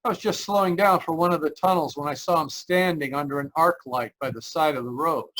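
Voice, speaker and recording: A man's voice: a little high, fairly quick, fairly bright tone, lightly acted, poor audio. A man playing an uneasy motorist who tells his eerie road story in old-time radio mystery style, with a hesitant, dramatic delivery. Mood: haunted, brooding. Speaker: actor, lead narrator. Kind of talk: 1940s radio mystery acting. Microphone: remote video call.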